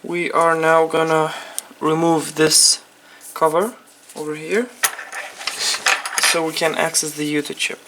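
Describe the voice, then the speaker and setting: Low, flat-voiced Ukrainian-accented woman. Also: background noise. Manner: halting, repetitive phrasing in non-native English. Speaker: phone repair technician presenting a tutorial. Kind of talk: unscripted hands-on repair tutorial.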